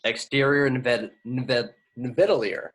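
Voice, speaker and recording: A man's voice: medium pitch, a little slow, a bit bright, slightly rough, poor audio. A man reading screenplay action lines aloud as narrator in an amateur table read. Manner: stumbles on invented place names.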